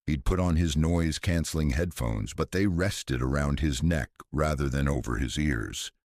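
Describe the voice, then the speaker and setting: A man narrating a scripted thriller story in a measured, serious manner. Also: very deep voice, a little mellow, no echo, clean studio sound. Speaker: audiobook narrator.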